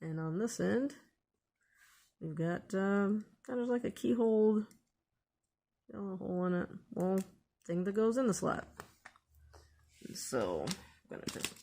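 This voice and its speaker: A woman's voice: medium pitch, expressive, a little slow, long pauses, dark tone. A woman hosting a casual hands-on DIY tutorial, thinking aloud in an unscripted way as she works. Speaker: DIY video host.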